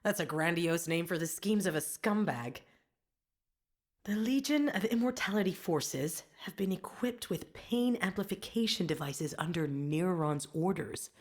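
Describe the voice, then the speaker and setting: Determined game heroine, slightly low register, terse, grim, brooding, dramatic delivery. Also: female voice. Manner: terse, brooding, thinking aloud. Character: driven female soldier investigating a toxin, stubborn and grim. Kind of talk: dramatic video game voice acting.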